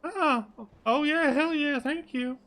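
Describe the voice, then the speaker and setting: A man's voice: very high voice, slow pace, fairly bright tone, pitched above the performer's own voice, exaggerated voice. A man voicing an eager, friendly, cheeky young guy in a tabletop role-playing game, full of enthusiasm. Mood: excited.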